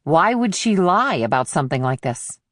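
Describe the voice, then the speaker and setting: A woman's voice: low voice, big pitch swings, moderate pace, dry recording, clean studio sound. A woman voicing an insistent, businesslike female investigator in audiobook dialogue, firm and explanatory. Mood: insistent, irritated.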